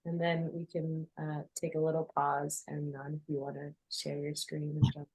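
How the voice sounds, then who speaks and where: A woman's voice: low voice, monotone, normal speed, a little mellow, husky, rough recording. A woman hosting an online event over a video call, upbeat and encouraging to the speakers. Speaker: host, moderator.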